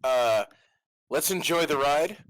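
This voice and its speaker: A man playing in a tabletop game, speaking loudly and eagerly in rushed bursts. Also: on the high side, lively intonation, no echo, low-quality recording. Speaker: player.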